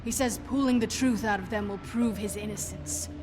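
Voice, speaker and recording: A woman's voice: mid-range voice, moderate pace, over music, natural voice. An actress playing a bitter, defiant old witch woman in a dramatic video game, indignant and pleading. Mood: resigned. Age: older.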